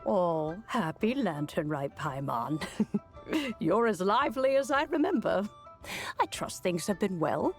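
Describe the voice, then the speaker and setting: A woman's voice: lowish voice, very animated, a little slow, soft background music. A woman playing a kindly, wise elder immortal in a fantasy game, speaking in a gracious, thoughtful manner.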